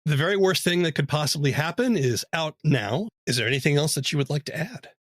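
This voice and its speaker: A man hosting a podcast, reading scripted narration in a measured, announcer-like way. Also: a little high, expressive, dry recording. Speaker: podcast host. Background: theme music.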